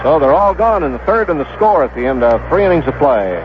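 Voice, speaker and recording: American guy doing baseball play by play, slightly high voice, dull old am radio sound. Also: sing-song, brisk, music in the background. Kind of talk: live radio sports commentary. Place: stadium broadcast booth. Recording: dull, noisy 1970s AM radio broadcast.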